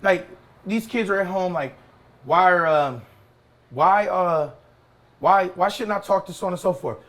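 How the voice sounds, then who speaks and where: A man's voice: high-pitched, a little slow, fairly bright tone. A man from South Bay, Los Angeles, a barber guest, chatting casually in slangy, rambling talk with lots of "you know what I'm saying". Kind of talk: casual podcast chat.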